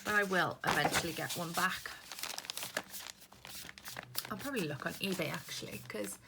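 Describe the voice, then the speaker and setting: Woman, Welsh accent, lowish voice, rambling craft chat, loud paper rustling. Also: normal speed, gravelly. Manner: rambling, chatty, talks while handling papers. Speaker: craft vlogger. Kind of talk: casual chatty crafting tutorial. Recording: paper crinkling noise close by. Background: paper rustling and crinkling.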